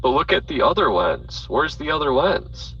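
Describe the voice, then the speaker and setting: Rambling profane man, bright voice, off mic. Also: fairly high pitch, big pitch swings, normal speed, far from the mic. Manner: rambling, profane, thinks aloud with many fillers. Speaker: host. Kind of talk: casual chat on a live audio space. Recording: dull audio from off mic with faint noise.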